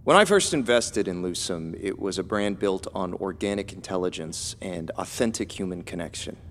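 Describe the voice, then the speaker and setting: An actor playing a critical male investor in an audio drama, with a measured, businesslike manner. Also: sits low, animated, fairly bright tone, slight background noise. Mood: hesitant, critical. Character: smooth, critical male investor on a company board. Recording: faint music behind.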